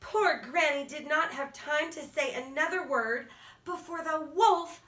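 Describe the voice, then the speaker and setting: A woman's voice: high-pitched, measured pace, fairly bright tone. A woman narrating a fairy tale for children as a storyteller. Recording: echoey room, distant from mic.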